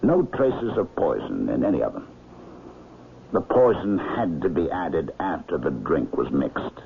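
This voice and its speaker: A man playing a thoughtful, loyal middle-aged doctor with a deliberate, probing manner in a radio mystery drama. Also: low-pitched, very animated, vocal fry, full character voice. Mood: pondering. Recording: muffled, noisy old radio drama recording.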